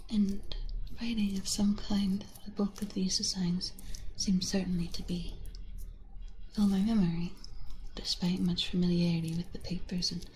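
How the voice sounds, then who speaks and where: A woman streamer reading a story aloud in a soft, unvoiced ASMR whisper on a binaural microphone. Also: mid-range voice, a little hiss or hum, poor audio.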